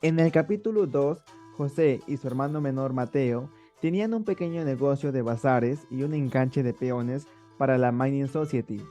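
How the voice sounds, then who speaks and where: A man's voice: mid-range voice, moderate pace, mellow, faint music behind. A man narrating a scripted story summary.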